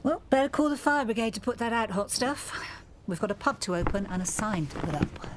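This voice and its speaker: A woman's voice: on the high side, expressive, a little fast, background noise, natural voice. An actress playing a teasing middle-aged pub landlady in a radio soap.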